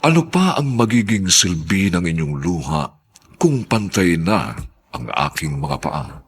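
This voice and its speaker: A man announces and narrates a radio drama with great theatrical weight. His husky voice sweeps widely in pitch, solemnly stretching out key phrases. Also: sing-song, measured pace.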